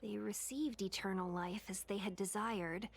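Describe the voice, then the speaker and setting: Actress doing an enigmatic fantasy game lady, measured and formal, ominous, faint noise behind. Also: medium pitch, steady tone, gravelly, close to an everyday voice. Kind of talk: scripted video game voice acting.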